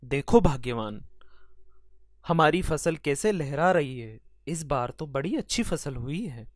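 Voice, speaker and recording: Man acting an earnest, higher-voiced poor farmer husband. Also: lively intonation, a little slow, no echo, studio quality. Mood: pleased. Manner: earnest, gently chiding. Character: patient, hardworking, weary poor farmer husband in a cartoon.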